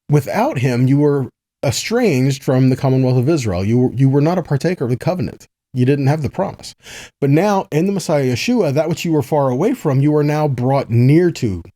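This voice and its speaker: A man's voice: mid-range voice, mellow, no echo, studio quality. A man teaching a weekly Bible lesson in a conversational way, reading scripture passages aloud and checking in with his listeners. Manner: conversational, checks in with "right?" and "see that?". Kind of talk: weekly Torah portion teaching.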